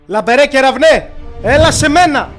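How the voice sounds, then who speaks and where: A man's voice: very high voice, expressive, faint music behind. A man voicing a determined young cartoon hero, the lord of light, speaking in an earnest, declamatory way.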